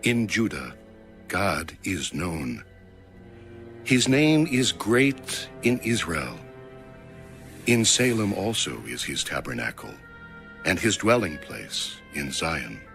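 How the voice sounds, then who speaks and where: A man reading scripture aloud in a solemn, dramatic, measured audiobook style. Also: sits low, very animated, gravelly, fairly bright tone, soft background music. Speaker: scripture reader.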